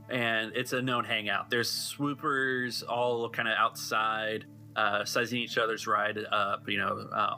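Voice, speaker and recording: A man's voice: on the low side, bright, forceful tone. A man working as game master, narrating a tabletop game in a conversational, improvised way. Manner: conversational, improvised scene-setting.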